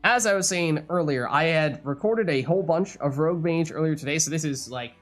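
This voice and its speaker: A man's voice: brisk, soft background music. A man talking through a card game run in a let's play, his voice still a little strained as it recovers. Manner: analytical, lively swings in pitch. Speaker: gaming YouTuber.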